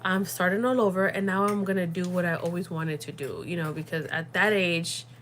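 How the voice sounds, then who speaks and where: A woman's voice: lowish voice, fairly even intonation, brisk, a bit bright. Rambling young woman on a livestream. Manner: rambling, full of fillers, slips into Spanish words. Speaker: livestream host. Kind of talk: casual livestream chat.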